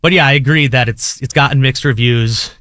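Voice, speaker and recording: A man guesting on a radio show, talking casually with a loud voice in a rambling, hesitant way. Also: medium pitch, brisk, no room sound, studio quality. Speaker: studio guest. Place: radio studio. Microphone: studio mic.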